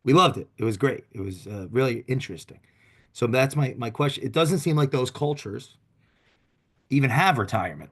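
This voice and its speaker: A man's voice: mid-range voice, slightly rough. A man in the middle of the usual male range hosts a podcast and asks long, loose questions. He trails off and restarts as he works his way toward the point, in an easy, conversational way. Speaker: podcast host. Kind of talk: conversational interviewing.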